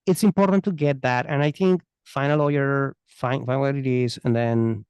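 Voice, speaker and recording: A man's voice: medium pitch, fairly even intonation, moderate pace, darkish tone, clean tone, no echo, muffled recording. A man answering as an expert podcast guest, earnest and emphatic, often repeating his phrases. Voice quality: thick foreign accent. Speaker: interview guest, immigration attorney. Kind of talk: unscripted podcast interview answers.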